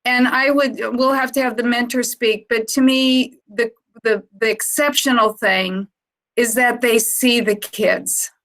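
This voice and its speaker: Slow-talking woman with a higher voice. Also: fairly even intonation, a bit bright, rough recording. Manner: halting, unscripted, reflective. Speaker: founder presenting to donors. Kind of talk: informal slide presentation on a conference call. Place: online video conference call.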